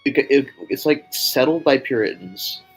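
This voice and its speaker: A man's voice: medium pitch, animated, normal speed, husky, rough recording. A man co-hosting a livestream in casual banter. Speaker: livestream co-host. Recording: music playing behind the voice.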